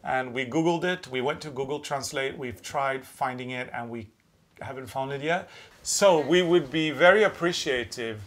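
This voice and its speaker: A man's voice: medium pitch, normal speed, fairly bright tone. A middle-aged man, a native Norwegian speaker, chatting casually in English as he hosts a craft show-and-tell. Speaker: craft show host and designer. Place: small room with wood-panelled walls.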